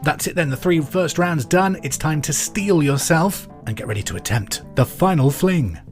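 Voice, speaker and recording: A man hosting a pub quiz podcast, reading questions and answers in a clear, deliberate way with casual asides. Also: fairly high pitch, expressive. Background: music under the voice.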